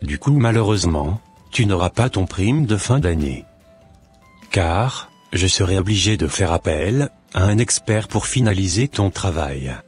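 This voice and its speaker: A man acting a deep, husky formal cartoon man. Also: expressive, a little slow, a little mellow, faint music behind, heavily acted. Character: formal, self-assured men: boss, colleague, father. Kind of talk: animated cartoon dialogue.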